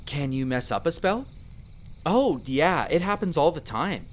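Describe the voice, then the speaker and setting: A man's voice: fairly high pitch, big pitch swings, unhurried, a little hiss or hum. A man voicing a friendly, eager young elf explorer in a roleplay audio drama, chatting playfully and explaining patiently to the listener. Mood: earnest, explanatory. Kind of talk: in-character conversational roleplay addressed to the listener.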